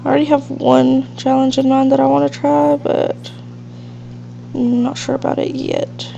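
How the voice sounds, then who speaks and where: Woman, nasal stuffed-up voice, lots of creaky fry, mellow low-key tone, rambling gameplay commentary, slightly muffled lo-fi home recording with faint noise. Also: level tone, normal speed. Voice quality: nasal, congested, sniffly. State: stuffed up with allergies. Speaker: host of a gaming let's play. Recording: dull lo-fi mic with faint hiss and hum.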